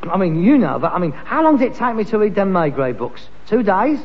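An actor playing an exasperated, self-important working-class London son, nagging pompously in a Cockney accent in a vintage British sitcom. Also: male voice, sits high, sing-song, fairly quick, exaggerated voice. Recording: dull, noisy, reverberant archive sitcom recording.

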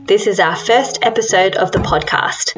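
A woman's voice: medium pitch, normal speed, a bit bright, soft background music, low-quality recording. A young woman co-hosting a podcast, chatting casually in a friendly, upbeat way with frequent ums. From Australia. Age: young adult. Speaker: podcast co-host. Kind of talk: casual chat.

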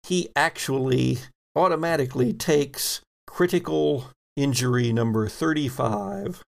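A man narrates a tabletop roleplaying game as its game master. He speaks slowly with a creaky, gravelly edge, in a middle-of-the-road pitch, building his descriptions in halting pieces.

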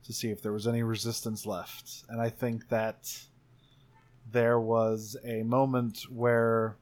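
A man improvising as a player in a tabletop roleplaying game, deliberate and pausing mid-sentence to think. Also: medium pitch, flat delivery, a little mellow.

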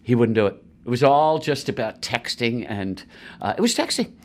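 A middle-aged man talks in a loose, storytelling way, full of asides, restarts and "you know"s. His pitch swings widely from phrase to phrase, giving the chat a lively, animated feel. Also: moderate pace. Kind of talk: casual conversational storytelling.

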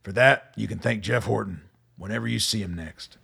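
Man playing gravelly, husky army colonel. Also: on the low side, bright, forceful tone, heavily acted. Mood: grim, commanding. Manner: dry, sardonic, deliberate. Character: hardened, sardonic male army colonel, weary and commanding. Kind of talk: radio play acting.

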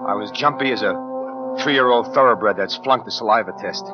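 A man's voice: lowish voice, moderate pace, close to an everyday voice. An actor playing an edgy, slangy American gunman in a 1940s radio crime drama, talking tough and clipped. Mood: jumpy, jaded narration. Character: edgy, hard-boiled gunman narrating and bullying his girlfriend. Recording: muffled 1940s radio recording, faint music.

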